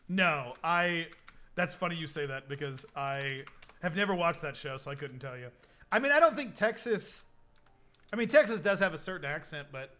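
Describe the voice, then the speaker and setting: A man's voice: on the high side, bright, forceful tone. A man with a Midwestern American accent, rambling through casual live-stream chat with many restarts and frequent chuckles. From United States.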